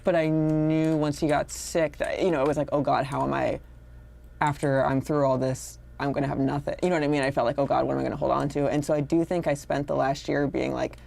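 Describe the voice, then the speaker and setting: A woman's voice: deep voice, level tone, a little fast, vocal fry, dark tone. A woman in casual, reflective conversation, rambling and slipping into quoted asides as she explains herself.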